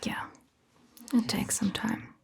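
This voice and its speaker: A woman whispers gently and with long pauses in an ASMR roleplay, playing a soothing vampire doctor close to the microphone. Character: attentive, soothing female vampire doctor, centuries-old clan member.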